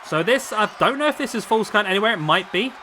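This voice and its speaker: A man commentating on a wrestling video game, losing his voice, chatty and excitable as he calls the action. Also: high voice, fast. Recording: loud game crowd noise behind. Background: video game crowd and arena sound.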